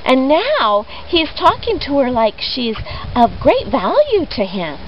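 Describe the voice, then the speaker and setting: A woman telling a children's story expressively, leaning on key words with deliberate, dramatic emphasis. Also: high voice, huge pitch swings. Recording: dull lo-fi audio with clear background noise.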